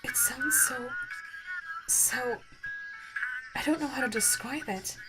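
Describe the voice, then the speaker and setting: A regal alien gem woman, as a female voice actor plays her for an audio roleplay, speaks formally and with tender wonder. She is curious and easily awed, her phrasing halting when moved. Music plays clearly behind her. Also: high voice, voice pushed up, poor audio. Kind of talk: ASMR audio roleplay acting.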